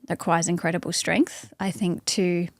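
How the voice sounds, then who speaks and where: An Australian woman, an interview guest, speaking thoughtfully in a casual conversation with many pauses and restarts. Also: lowish voice, steady tone, dry recording, studio quality.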